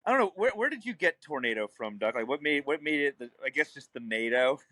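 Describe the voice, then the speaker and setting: A man's voice: mid-range voice, lively intonation, fast talker, bright, forceful tone, no room sound. A young man, a guest filmmaker talking frankly and easily in a casual podcast chat. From New Orleans. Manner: frank, easygoing, laughs often.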